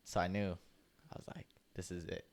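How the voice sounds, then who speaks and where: A young man chatting casually on a podcast, his voice low-pitched and smooth. He rambles through stories at an easy pace, filling the gaps with "like" and "um.". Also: level tone, a little fast. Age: young adult. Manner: laid-back storytelling, heavy use of "like". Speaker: podcast co-host. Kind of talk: casual chat.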